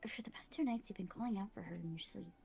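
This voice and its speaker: A woman playing a shy, caring young friend who stammers gently in a breathy voice. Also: mid-range voice, fast. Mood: worried, pleading. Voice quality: breathy, whispery. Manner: hesitant, stammering.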